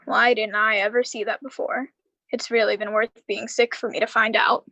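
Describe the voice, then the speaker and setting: Woman playing a halting, weary uncle, high-voiced. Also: little pitch movement, pushed and bright, no echo, rough recording. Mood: tender, admiring. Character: weary, heartbroken uncle, slowly recovering after misfortune. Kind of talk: live radio drama acting.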